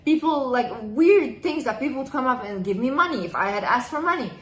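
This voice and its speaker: A woman's voice: high voice, expressive, brisk, a bit bright, roomy sound. A woman in her twenties giving heartfelt life advice straight to camera in an earnest, emphatic way. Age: 20s. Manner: earnest, emphatic, repeats phrases. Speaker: vlogger. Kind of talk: casual advice vlog.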